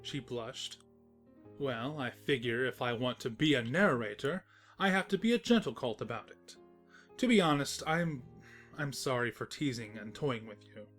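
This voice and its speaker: A man playing a teasing, sentimental, gentlemanly storybook narrator in a fan fiction audio drama. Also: medium pitch, sing-song, moderate pace, soft background music. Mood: sincere, courtly.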